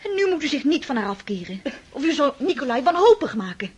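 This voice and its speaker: An actress playing a spirited young daughter. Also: female voice, high-pitched, sing-song, quick pace, darkish tone. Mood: impassioned. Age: young adult. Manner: impassioned. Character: spirited young daughter, loyal to her brother. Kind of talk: radio drama acting.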